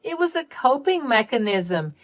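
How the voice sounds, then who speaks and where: A woman's voice: high-pitched, very animated, moderate pace, pitched above the performer's own voice. A woman voicing a spoiled, scheming younger sister in a story, whiny and indignant, with melodramatic pleading and accusing. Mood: desperate pleading.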